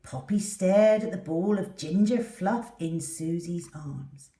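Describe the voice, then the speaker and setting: A woman's voice: fairly low pitch, slow pace, mellow. A woman reading a children's story aloud with theatrical, dramatic delivery. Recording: echoey room, distant from the mic.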